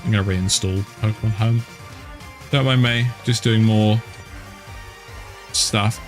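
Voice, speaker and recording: A man's voice: on the low side, steady tone, a little slow. A man from the UK casually commenting while playing a video game, with wry, grumbling asides. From United Kingdom. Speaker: gaming streamer. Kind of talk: casual let's-play commentary. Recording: game music behind the voice. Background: video game music.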